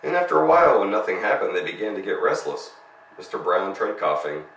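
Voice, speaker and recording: A man's voice: sits low, sing-song, a little fast, gravelly, a bit bright. A man narrating a children's story as a storyteller, reading expressively. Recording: roomy, distant mic, faint noise.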